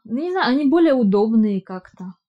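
A woman's voice: on the high side, big pitch swings, fairly quick, highs cut off. A woman who grew up speaking Russian and now lives in Israel, chatting casually and hesitantly, with many fillers and restarts. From Russian-speaking immigrant living in Israel. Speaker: interviewee. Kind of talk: casual conversation.

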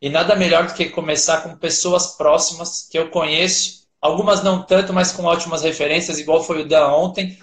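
A man's voice: fairly high pitch, steady tone, a little fast, few pauses. A man hosting a live-stream interview, upbeat and enthusiastic as he introduces his guest. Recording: echoey room.

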